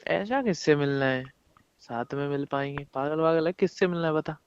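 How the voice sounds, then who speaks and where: Young man, higher-pitched, on muffled call. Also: normal speed, clear, resonant tone. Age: young adult. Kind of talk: casual intimate phone chat between lovers. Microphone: phone call. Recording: muffled lo-fi phone call recording.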